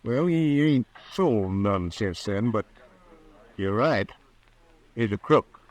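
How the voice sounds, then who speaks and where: An actor playing an honest, hesitant frontier cattle buyer with a folksy American Western accent in a radio drama. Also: male voice, lowish voice, expressive, a little mellow. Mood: earnest, confiding. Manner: folksy, halting, deferential. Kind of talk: old-time Western radio drama acting. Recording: vintage radio broadcast recording.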